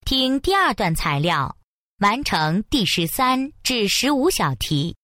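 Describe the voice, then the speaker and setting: A woman announcing listening-test instructions in a slow, formal manner. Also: a little high, big pitch swings, sharp tone, dry recording, clean studio sound. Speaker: exam announcer.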